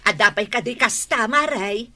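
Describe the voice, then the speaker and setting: Casting: actress for gushing, chummy Ilocano village woman, fairly high bright voice, comedy drama. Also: moderate pace. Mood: admiring. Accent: Ilocano Filipino.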